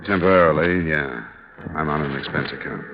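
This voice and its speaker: A man's voice: very deep voice, brisk. An actor playing a wry, hard-boiled male insurance investigator in a 1950s radio drama, laconic and probing as he questions people. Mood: dry. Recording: dull lo-fi old radio broadcast with music.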